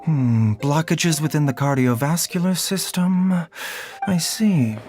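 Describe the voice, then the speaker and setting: A man voicing a calm, courteous physician in an anime-style game, speaking softly, formally and deliberately. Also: a little high, faint music behind. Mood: composed, thoughtful.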